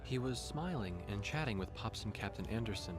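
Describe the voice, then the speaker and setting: Actor as low-voiced somber war chronicler, a man. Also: expressive, a little fast, over music, natural voice. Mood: somber, reflective. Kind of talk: scripted narration.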